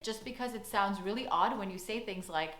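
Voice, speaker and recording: A woman's voice: mid-range voice, brisk, a bit bright. A woman giving friendly, earnest advice in a scripted vlog. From United States. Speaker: vlogger. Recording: echoey room with background music.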